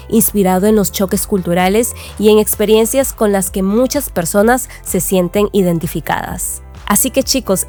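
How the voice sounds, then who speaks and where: Podcast host, a woman with a mellow, darker tone, faint music playing underneath. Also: medium pitch. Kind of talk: scripted podcast introduction and interview questions.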